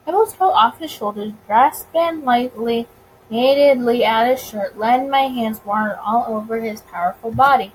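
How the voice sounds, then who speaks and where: High-voiced woman reading a story, noisy recording. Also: moderate pace. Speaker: visual novel let's player. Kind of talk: expressive story reading with reactions.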